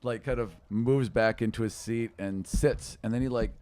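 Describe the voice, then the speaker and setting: A man's voice: mid-range voice, steady tone, normal speed, darkish tone. A man in his forties casually narrating an improvised tabletop game as game master, close to a studio microphone. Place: small podcast studio. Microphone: close podcast microphone on boom arm.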